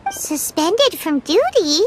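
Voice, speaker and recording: A woman voicing a small, childlike, excitable fairy companion in a video game, with a squeaky voice and a chirpy, curious manner. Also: takes it slowly, heavily acted. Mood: puzzled. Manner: speaks of self in third person. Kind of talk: animated video game acting. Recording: noisy game stream with clicks.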